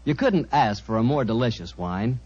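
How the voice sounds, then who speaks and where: A man's voice: medium pitch, animated, normal speed, a little mellow. An American man announcing in 1940s radio style, with a folksy, polished sales manner. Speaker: radio announcer and sponsor pitchman. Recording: dull 1940s radio broadcast recording.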